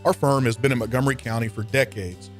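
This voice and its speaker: A man's voice: medium pitch, fairly even intonation, quick pace. A man with an American accent delivering a scripted radio advertisement as a financial advisor. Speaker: financial advisor in sponsor spot. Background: plucked guitar music.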